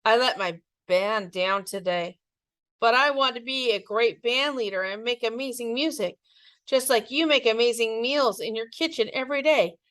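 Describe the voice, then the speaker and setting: A woman's voice: fairly high pitch, moderate pace, fairly bright tone, dry recording, close to an everyday voice. Woman reading as an earnest young boy in a storybook. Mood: downcast, worried. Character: earnest young boy trombonist, easily discouraged. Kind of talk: children's storybook read-aloud.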